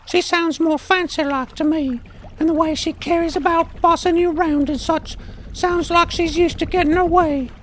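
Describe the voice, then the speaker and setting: Very high-pitched folksy little owl. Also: female voice, fairly even intonation, moderate pace, slight background noise. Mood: suspicious, gossipy. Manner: folksy, ungrammatical rustic speech. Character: timid, folksy, gossipy young talking owl. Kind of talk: fantasy audio drama acting.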